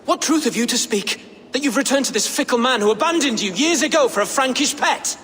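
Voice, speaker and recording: A man's voice: extremely high pitch, animated, brisk, fairly bright tone. A young man playing a bitter, insolent Saxon lord, sneering and accusatory, in a video game cutscene with hall reverb. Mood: scornful. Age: young adult. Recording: reverberant hall, background noise.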